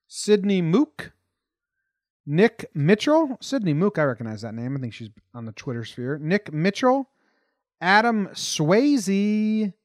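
Higher-voiced American man hosting a sports podcast. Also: big pitch swings, slow, dry recording, studio quality. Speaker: podcast host. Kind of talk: casual sports podcast talk.

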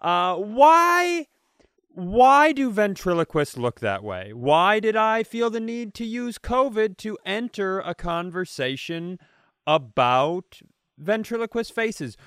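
A man chats casually as a comedy podcast co-host. His voice sits a little high and sounds smooth, with a very dry, close recording. He rambles wryly as he talks. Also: very animated, slow pace, a bit bright, dry recording.

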